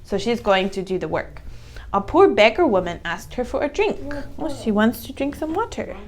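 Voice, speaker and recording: A woman's voice: a little high, big pitch swings, moderate pace. Woman teacher reading aloud to children. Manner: explains words in simple phrases, asks children questions. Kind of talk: read-aloud storytelling with simple explanations and questions.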